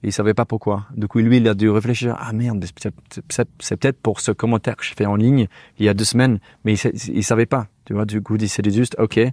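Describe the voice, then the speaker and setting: Guest spot: English guy in his thirties, lowish voice, hesitant second-language speaker, dry close lapel sound. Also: little pitch movement, a little fast, hardly any pauses, a little mellow, no room sound. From England. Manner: hesitant, searching for French words, many restarts. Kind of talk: casual conversational interview. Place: small indoor studio with couch. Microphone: lapel mic.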